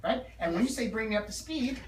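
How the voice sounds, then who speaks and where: A woman's voice: a little high, animated, quick pace. A woman working as a consultant, training staff hands-on through role-play in a directive way, drilling phrases and often checking they understand. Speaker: dental practice consultant and trainer. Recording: roomy, distant mic with background noise.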